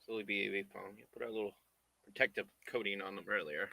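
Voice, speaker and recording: A man chats casually on a livestream while building puppets at his work bench. He often drops into quiet mutters as he works. His voice is bright and the sound is dry and close. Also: lowish voice, steady tone, fast, gravelly, no echo.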